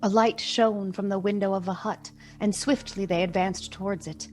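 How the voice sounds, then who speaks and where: A woman narrating a Victorian Christmas tale for a radio play, measured, solemn and expressive. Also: mid-range voice, a little hiss or hum, natural voice. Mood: dramatic storytelling.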